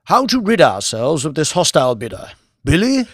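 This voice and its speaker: A man voicing a brisk, commanding older lord who runs a bank, in an audiobook. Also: on the high side, huge pitch swings, no room sound, clean studio sound, voice pushed up. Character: brisk powerful older male lord, financier.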